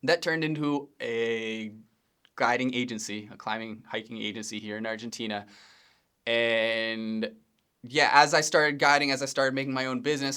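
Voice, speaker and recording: A man in his thirties from Pennsylvania talks casually into the mic from his home studio, introducing his podcast. His voice is smooth and resonant, sitting at an ordinary middle pitch. He wanders from point to point, filling gaps with ums and correcting himself mid-sentence. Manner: relaxed, rambling, frequent ums and self-corrections, occasional laughs. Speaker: podcast host, video editor.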